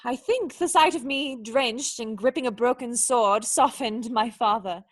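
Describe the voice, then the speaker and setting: A woman's voice: a little high, a little slow. A woman playing a proud, defensive young noblewoman with formal, earnest speech in a radio play. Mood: wistful.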